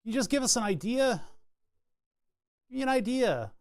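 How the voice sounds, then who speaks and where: A man's voice: very high-pitched, sing-song, moderate pace, no echo, studio quality. A man streaming live, chatting casually while he designs a circuit board, thinking aloud and often restarting his sentences. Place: home computer desk.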